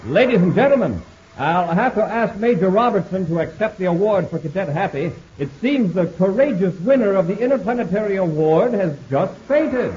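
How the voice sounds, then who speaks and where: A man's voice: sits high, lively intonation, moderate pace, a little mellow, slight background noise. An actor playing a male official who presents an award, addressing the audience in a formal, ceremonious 1950s radio style. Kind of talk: 1950s radio drama acting. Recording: muffled old radio transcription.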